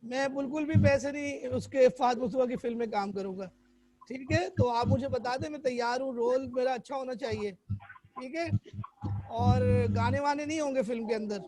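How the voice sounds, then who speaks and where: A hoarse man teaching a chemistry class online, quizzing students and repeating English definitions. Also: very high voice, moderate pace, darkish tone, poor audio.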